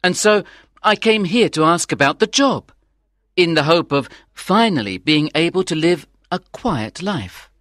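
A man's voice: a little high, lively intonation, unhurried, fairly bright tone, no echo, studio quality. A man playing an earnest young French manservant in a dramatized audiobook, polite, deferential and eager.

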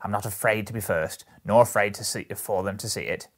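Man acting a loud, brazen, defiant charwoman, gravelly. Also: on the low side, a little fast, close to an everyday voice. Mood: bold, demanding. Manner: loud, scornful, emphatic. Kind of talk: Victorian storybook character voice.

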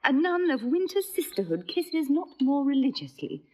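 A woman's voice: sits high, very animated, moderate pace, mellow. A teasing, witty young woman. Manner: teasing, ironic. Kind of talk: stage-play acting.

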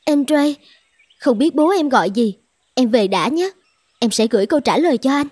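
A high-voiced young cartoon sweetheart. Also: female voice, lively intonation, normal speed, a little mellow, dry recording, clean studio sound. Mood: sweet, delighted. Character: sweet, sensitive young village beauty in love. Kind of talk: animated fairy tale dubbing.

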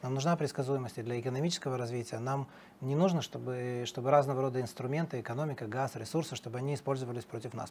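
A man's voice: medium pitch, darkish tone, slight background noise. A middle-aged man, a political analyst guest in a TV studio interview, talking emphatically with fillers and restarts, on a lapel mic.

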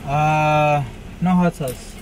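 A man's voice: fairly high pitch, fairly even intonation, extremely slow. A young man chatting casually and ordering from inside a car, picked up by the camera's microphone. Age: young adult. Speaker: prankster ordering at drive-thrus. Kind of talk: casual candid prank vlog. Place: inside a car at drive-thru windows. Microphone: camera mic in the car. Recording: loud music, car noise.

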